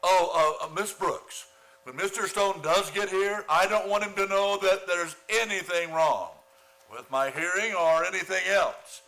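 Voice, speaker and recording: Guy doing a pompous middle-aged principal in radio comedy, high sharp voice, full of bluster. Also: a little slow, full character voice, low-quality recording. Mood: fussy. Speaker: actor in a radio play. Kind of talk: comic radio play acting.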